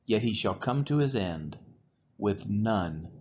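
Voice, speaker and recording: A man's voice: lowish voice, animated, takes it slowly. A man reading scripture aloud in a solemn, scripted style, phrase by phrase with emphatic stresses. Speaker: scripture reader. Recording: dull lo-fi recording with faint noise.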